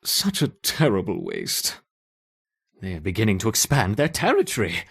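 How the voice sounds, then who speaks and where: A man's voice: on the low side, wildly swooping pitch, moderate pace, creaky, fairly bright tone, breathy edge, exaggerated voice. A man playing an eager, hesitant scientist who dotes on his ant colony in a video game. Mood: worried. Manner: hesitant, stammering, fussing over his subjects.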